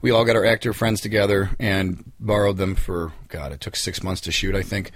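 A man's voice: low-pitched, fairly even intonation, brisk, dry recording. A man in his thirties chatting as an interview guest, rambling and self-deprecating, acting out little quotes as he goes. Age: 30s. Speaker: interview guest, filmmaker and musician. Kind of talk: casual chat, anecdotal.

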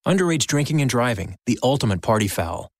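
A man's voice: medium pitch, sing-song, fast, no room sound, studio quality. A man reading a public service announcement as a voice-over.

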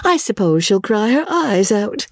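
Narrator playing a sly young wife, pitched high. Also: big pitch swings, unhurried, no room sound, studio quality. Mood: dismissive.

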